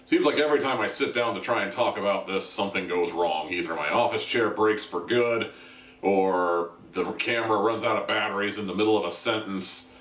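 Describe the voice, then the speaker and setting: A man with a low-pitched voice delivers a casual review as host. He is conversational and wry, poking fun at himself. The sound is roomy and echoing, as he sits some way from the microphone. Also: brisk, fairly bright tone. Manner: wry, self-deprecating, conversational. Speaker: reviewer, host of an audio drama review video series. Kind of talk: casual spoken video review. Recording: roomy echo, distant from the mic.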